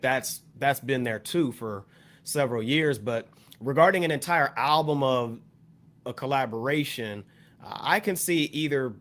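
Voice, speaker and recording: Man, American accent, average pitch, measured explanatory manner, casual podcast discussion. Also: fairly bright tone. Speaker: podcast panelist. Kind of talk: casual discussion, music commentary.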